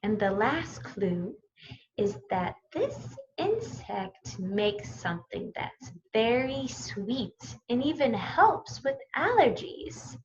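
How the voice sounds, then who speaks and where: A woman's voice: fairly high pitch, very animated, slow pace, highs cut off. A woman teacher leading a children's story time, speaking in simple phrases with questions and prompts for young listeners.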